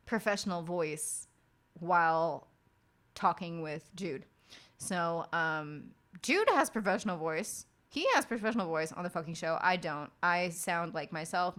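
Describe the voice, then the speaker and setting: Woman streamer, lower voice, chatty and profane, rambling filler-heavy casual talk. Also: fairly bright tone. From outside the United States. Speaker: streamer and podcast host. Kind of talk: casual late-night chat while working.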